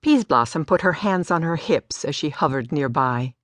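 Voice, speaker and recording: A woman's voice: sits low, expressive, normal speed, no echo, clean studio sound. A woman giving a scripted story narration as an audiobook narrator. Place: dry studio.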